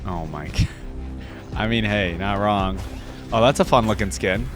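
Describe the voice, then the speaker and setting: A man's voice: lowish voice, a little slow. Man giving casual reactions, faint music. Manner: informal, thinking aloud. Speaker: reaction video host.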